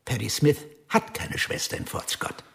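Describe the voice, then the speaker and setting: An actor playing a male police detective who questions a suspect in a measured, quietly pressing way. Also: lightly acted. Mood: steady. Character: calm, probing male police investigator. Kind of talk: radio drama acting.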